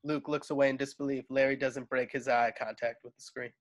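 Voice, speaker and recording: A man's voice: mid-range voice, little pitch movement, fairly quick. A man reading screenplay stage directions aloud as the narrator of a home table read. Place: at home on a video call.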